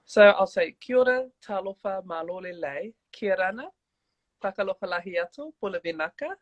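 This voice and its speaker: A woman from New Zealand with a New Zealand accent, chatting as an interview guest on a video call, warm and rambling with many restarts. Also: medium pitch, expressive, brisk, no echo, highs cut off. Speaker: guest, church leader. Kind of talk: casual interview chat.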